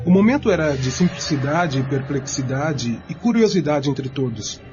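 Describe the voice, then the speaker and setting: A man's voice: a little high, animated, moderate pace. A man narrating a scripted radio drama formally, addressing his listeners as a storyteller. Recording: muffled radio recording with music.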